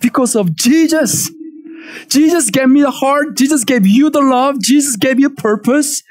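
A man's voice: very high voice, unhurried, soft background music. Korean-accented man preaching animatedly. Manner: animated, emphatic preaching with call-and-response. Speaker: pastor. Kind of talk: sermon. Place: church stage, indoors.

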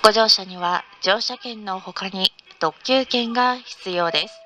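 A woman's voice: mid-range voice, a little slow, sharp tone. A woman reading a scripted in-car train announcement in a formal, polite manner. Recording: noisy train ambience recording.

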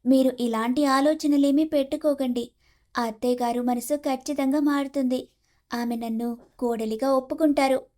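A patient, respectful young wife in a cartoon family tale, played by a woman. Her voice is very high, and she speaks gently and politely. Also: steady tone, moderate pace, close to an everyday voice. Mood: gentle, reassuring. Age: young adult. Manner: polite, soft-hearted. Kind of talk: animated moral story dialogue.

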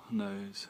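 A young man in his twenties chatting casually into a phone outdoors after a workout, relaxed and laughing now and then. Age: 20s. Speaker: fitness vlogger. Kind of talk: casual vlog chat. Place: outdoors in a wooded park. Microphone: phone held close with earphone mic. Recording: phone recording outdoors with faint nature noise. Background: faint birds and outdoor ambience.

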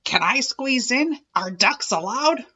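A woman's voice: a little high, expressive, slow, sharp tone, slightly rough, lo-fi sound, voice pushed up, heavily acted. A woman voicing a polite farm duck in a picture-book manner. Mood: hesitant. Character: polite, hesitant farm duck seeking room.

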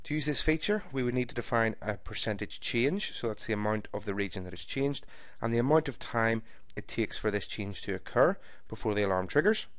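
A man giving a screen-recorded software tutorial, explaining each step in a steady, methodical way. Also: medium pitch, fairly quick, no echo. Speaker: software trainer. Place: voice-over recorded for a screen capture.

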